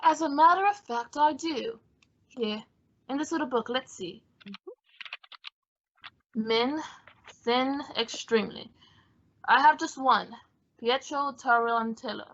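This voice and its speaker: A woman's voice: sits high, slow, pauses often, fairly bright tone, breathy edge, full character voice. A woman playing a fussy, sardonic male photographer with an arch, droll manner in a radio play. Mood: arch, genial. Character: fussy, sardonic, theatrical male photographer, grumpy but fond. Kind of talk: old-time radio comedy-mystery acting. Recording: dull lo-fi audio.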